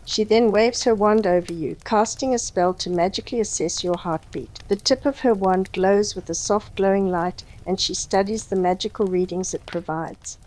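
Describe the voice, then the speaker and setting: A woman's voice: medium pitch, moderate pace, hardly any pauses, darkish tone, poor audio. A woman reading a scripted story as its narrator.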